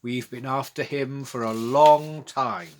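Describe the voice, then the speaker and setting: A man voicing a large, important lady chief inspector in a children's storybook, with a booming, pompous and deliberate delivery. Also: steady tone, a little hiss or hum, lightly acted. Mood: proud, booming.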